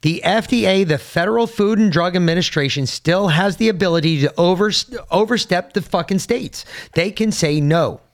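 A man's voice: high voice, moderate pace, hardly any pauses. A man co-hosting a political podcast, talking heatedly, interrupting and swearing. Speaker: podcast co-host. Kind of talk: opinionated political talk.

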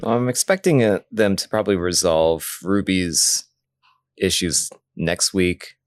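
A man's voice: deep voice, measured pace, no echo. A man co-hosting a podcast in casual discussion, thinking aloud with some hesitation. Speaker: podcast co-host.

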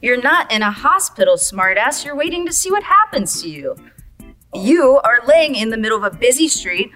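A woman's voice: fairly high pitch, big pitch swings, moderate pace, sharp tone, soft background music. A woman playing a laid-back but needy coma patient who greets newcomers, flippant and slangy, in an audio drama. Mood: snarky. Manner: flippant, slangy, easily offended.

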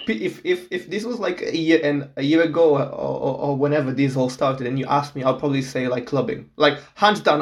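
A young man from Portugal talks in an easygoing, chatty way. He laughs often and repeats his first words before he gets going. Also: a little high. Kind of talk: casual chat.

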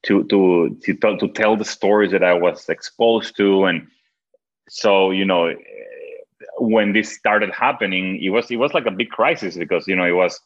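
A film director from Venezuela, a man with a Venezuelan accent and Spanish as his first language, answering interview questions in halting, restarting speech with frequent laughs. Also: low voice. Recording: dull lo-fi sound.

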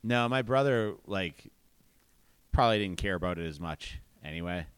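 A man hosting a podcast in casual chat, relaxed and rambling with fillers. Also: low-pitched, normal speed, smooth, no echo. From Boston area. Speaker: podcast host and comedy club owner.

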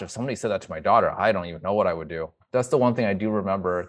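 A man in his thirties telling a personal story as an interview guest, conversational and reflective. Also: sits low. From Chinese American, in the US from childhood.

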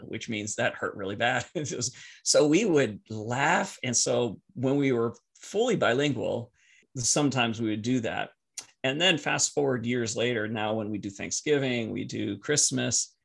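Man, native French speaker, gravelly fry, halting and reflective, easily amused, conversational storyteller. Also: mid-range voice, fairly bright tone. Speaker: podcast guest. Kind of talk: casual personal storytelling.

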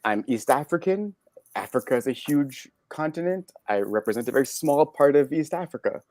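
A man with a Canadian accent, a podcast guest telling personal stories candidly, halting and correcting himself in an animated way. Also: medium pitch, dry recording. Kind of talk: candid personal storytelling in conversation.

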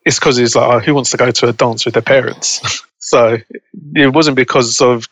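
A young man as an interview guest, chatting casually and relaxed, laughing easily. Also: medium pitch, normal speed, no room sound, low-quality recording. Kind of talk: casual conversation.

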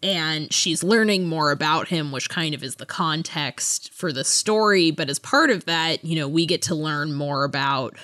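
A woman's voice: low voice, normal speed, few pauses, a bit bright. A woman hosting a podcast in a casual book discussion, often breaking off and rephrasing her thoughts as she goes. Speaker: podcast host.

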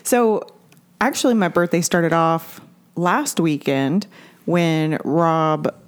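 A woman's voice: low voice, slow pace, clean tone. An American woman in her forties chatting casually and candidly as a co-host, with some swearing. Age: 40s. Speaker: podcast co-host. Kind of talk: casual chat.

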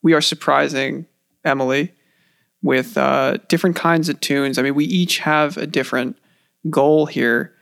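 A man's voice: mid-range voice, fairly even intonation, measured pace, gravelly, a bit bright, no room sound, studio quality. A man co-hosting a casual music podcast, wry and joking. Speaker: podcast co-host. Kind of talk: casual chat.

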